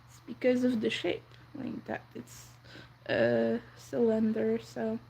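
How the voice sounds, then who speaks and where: A young woman whose first language is French, chatting softly and rambling on a crochet livestream. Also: on the high side, very animated, takes it slowly, vocal fry, slight background noise. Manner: soft-spoken, rambling, counts under her breath. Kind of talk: casual chat while crocheting.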